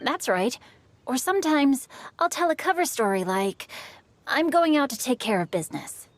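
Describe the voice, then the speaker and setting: A woman's voice: high-pitched, sing-song, moderate pace. A woman playing a poised, poetic young noble with an eloquent, theatrical manner in an anime-style video game. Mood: breezy, composed.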